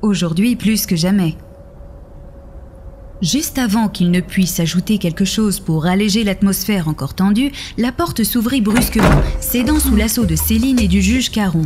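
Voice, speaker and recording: A woman's voice: mid-range voice, expressive, normal speed, noisy background. A woman narrator reading scripted fiction in an audio drama. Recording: music under the voice.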